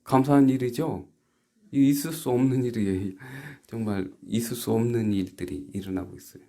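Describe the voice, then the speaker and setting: A man from South Korea preaching a church sermon as a pastor, haltingly, moving between quiet asides and emphatic rhetorical questions. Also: medium pitch, fairly even intonation.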